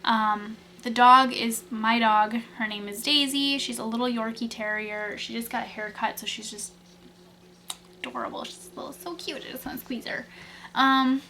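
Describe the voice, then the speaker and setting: A woman's voice: on the high side, fairly even intonation, moderate pace, bright, forceful tone, a little hiss or hum. A young woman from Wisconsin, chatting casually through tag questions, rambling with lots of fillers and restarts. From Wisconsin, USA. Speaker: beauty vlogger.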